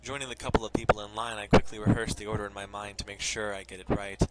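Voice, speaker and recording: A man's voice: on the low side, little pitch movement, fast, very noisy. A man streaming a let's play, reading a visual novel's narration aloud with loose, casual asides. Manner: swears casually. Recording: loud music behind the voice. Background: loud music.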